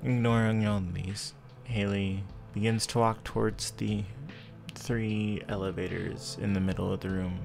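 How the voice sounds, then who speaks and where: A man with a creaky voice working as game master, narrating a tabletop roleplaying game in a measured, descriptive way. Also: on the low side, flat delivery. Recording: faint background music. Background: soft music.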